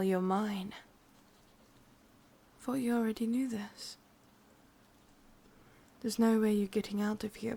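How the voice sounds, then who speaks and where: A woman playing a sadistic, possessive witch with an English accent in a whispered ASMR roleplay, breathy, teasing and menacingly sweet. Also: mid-range voice, dark tone, exaggerated voice. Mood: soothing, possessive.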